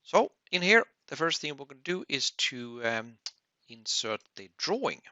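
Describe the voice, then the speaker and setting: A man presenting a technical webinar tutorial as an instructor, explaining step by step in a halting way. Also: medium pitch, big pitch swings, sharp tone, dry recording, dull audio. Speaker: audio engineering instructor.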